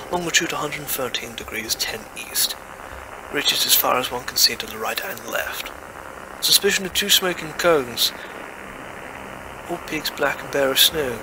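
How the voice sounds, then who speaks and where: A man's voice: medium pitch, background noise, natural voice. A man playing a driven, zealous scientist-explorer in an audio drama, earnest and intense. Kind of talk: dramatic audio play acting.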